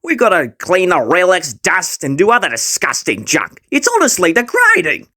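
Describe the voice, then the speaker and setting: A man's voice: high voice, huge pitch swings, normal speed, bright, forceful tone, full character voice. A man voicing a cocky, sneering teenage bully with an Australian accent and drawling, exaggerated taunts in a comic dub. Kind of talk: comic fandub acting.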